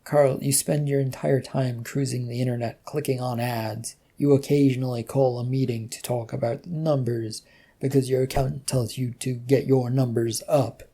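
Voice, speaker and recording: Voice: mid-range voice, fairly even intonation, moderate pace, mellow, slightly rough. A storyteller playing a weary, sarcastic male office IT worker with a dry, hesitant manner. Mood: dry, exasperated.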